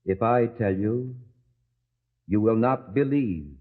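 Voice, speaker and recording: A man playing a calm holy prophet in a biblical radio drama, speaking with solemn deliberation. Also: medium pitch, slow pace. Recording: muffled, phone-like narrow sound.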